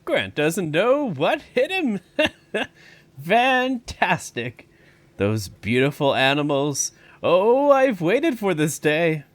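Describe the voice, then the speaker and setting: A man's voice: sits high, wildly swooping pitch, slow, heavily acted. A man playing a proud, stubbornly optimistic elderly park owner in an audio drama, with a theatrical delivery. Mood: delighted. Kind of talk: fan audio drama acting.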